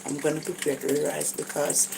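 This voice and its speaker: A woman's voice: deep voice, level tone, fast, gravelly. A tired Jamaican woman narrating a casual cooking vlog in a small kitchen, hesitant, with many fillers and restarts. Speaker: cooking vlogger. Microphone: phone held above her. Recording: phone audio with kitchen noise.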